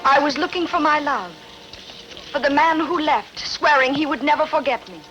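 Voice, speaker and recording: A woman acting a proud, scheming queen in a dubbed historical epic, solemn and measured in her dramatic delivery. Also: high-pitched, dull audio, noisy background, natural voice. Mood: proud longing.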